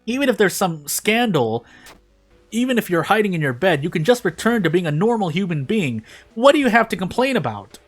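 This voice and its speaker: A man's voice: high voice, big pitch swings, a little fast, voice pushed up. Storybook role: wounded young witch played by a man, bitter and accusatory, ranting with passion, faint music underneath. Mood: furious ranting.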